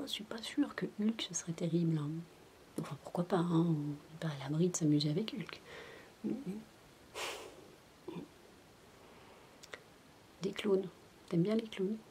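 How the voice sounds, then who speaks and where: Casting: woman, ASMR babysitter, breathy whisper and soft speech, chatty bedtime storytelling, faintly noisy homemade recording. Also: fairly low pitch. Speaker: ASMR roleplayer playing a babysitter. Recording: noisy homemade recording without binaural mic.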